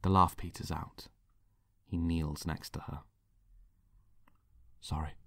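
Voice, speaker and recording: A man's voice: low-pitched, little pitch movement, unhurried, creaky, pushed and bright, no room sound. A man reading scripted dramatic narration in the present tense. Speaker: writer and narrator.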